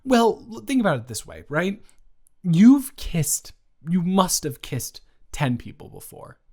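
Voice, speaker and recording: A man's voice: on the high side, darkish tone. A young man playing a nervous, joking roommate and close friend in an improvised audio roleplay, stammering and rambling hesitantly. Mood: reassuring, playful.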